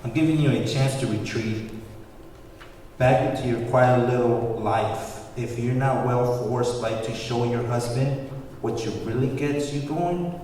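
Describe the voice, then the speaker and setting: A man's voice: mid-range voice, a little slow, darkish tone, natural voice. Man acting a coolly menacing cult leader, echoey. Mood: cold, dismissive. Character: flamboyant muscular cult leader in his thirties, coolly menacing. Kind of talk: screenplay table read. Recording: very echoey, distant, noisy room recording.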